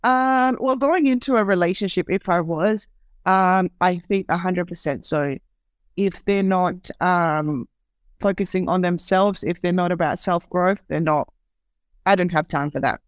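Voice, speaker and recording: Smooth-voiced Serbian woman guest. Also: on the low side, a little slow, no room sound. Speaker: podcast guest. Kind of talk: casual interview chat.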